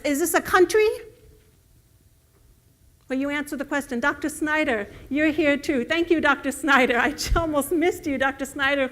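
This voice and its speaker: A woman's voice: high voice, fairly bright tone. A middle-aged woman whose first language is Urdu, giving an earnest university lecture and building with emphasis on key words. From United States. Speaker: guest lecturer.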